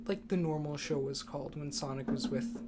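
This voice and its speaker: A man's voice: a little high, fairly even intonation, fairly quick. A young man talking casually as a podcast host about films, in an informal conversational style. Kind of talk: casual movie chat. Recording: clear background room noise.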